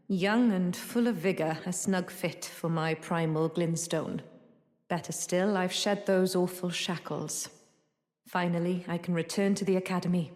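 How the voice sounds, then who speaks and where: A woman playing a proud, ambitious sorceress teacher in a video game, speaking fondly to an apprentice in measured, formal, archaic phrases. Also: on the low side, darkish tone, natural voice. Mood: pleased, proud. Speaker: video game character voice actor. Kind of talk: scripted game dialogue. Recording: echoey hall-like reverb.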